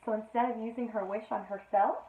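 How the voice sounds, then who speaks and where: A woman reading a children's story aloud in an expressive teacherly style, with playful asides to her listeners. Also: fairly high pitch, brisk. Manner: addresses listeners with playful questions, dramatic emphasis. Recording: roomy, echoey, narrowband sound.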